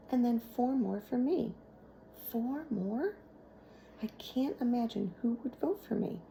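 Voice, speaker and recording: A woman's voice: on the high side, vocal fry, warm tone, a little hiss or hum, rough recording. A woman narrating a children's novel aloud in the manner of a teacher reading to a class.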